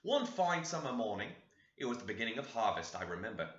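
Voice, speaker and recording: A man's voice: mid-range voice, sing-song, fast, fairly bright tone. A man voicing a talkative, homely woman housekeeper who tells family history with chatty emphasis in an audiobook. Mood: reminiscing. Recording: roomy, echoey, slightly dull sound.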